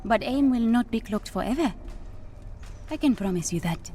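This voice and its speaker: A woman's voice: on the high side, animated, normal speed, dark tone, soft background music, natural voice. A woman playing a clever young princess and scientist in a video game, with an African accent and a precise, self-assured delivery. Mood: confident. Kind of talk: video game cinematic acting.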